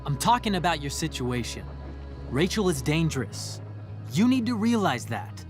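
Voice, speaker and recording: A man's voice: a little high, very animated, normal speed, faint music behind. A man playing an obsessive, self-righteous teenage boy in a video game, insistent and earnest. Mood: earnest, consoling. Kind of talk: dramatic video game voice acting.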